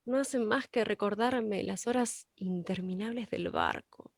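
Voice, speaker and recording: A woman's voice: medium pitch, unhurried, creaky, no room sound, studio quality. A woman reading the part of a weary, bitter woman in a radio drama script. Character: weary, bitter woman recalling a long sea voyage.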